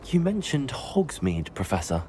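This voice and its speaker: A man's voice: medium pitch, sing-song, a little slow, darkish tone, a little hiss or hum. A man playing a polite, curious young student wizard in a video game, speaking deferentially and measuredly. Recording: prominent background music.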